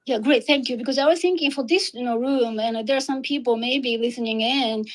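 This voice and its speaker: A female co-host with a fairly high voice, polite and a little apologetic. She thinks aloud as she talks, leaning on "you know" while shaping her questions. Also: brisk. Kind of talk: casual conversation.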